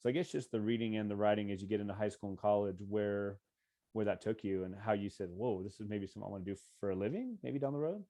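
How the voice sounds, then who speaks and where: A man's voice: fairly low pitch, quick pace, warm tone. A man with an American accent hosting a podcast, asking questions in an informal, encouraging, conversational way. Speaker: podcast host. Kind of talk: casual interview.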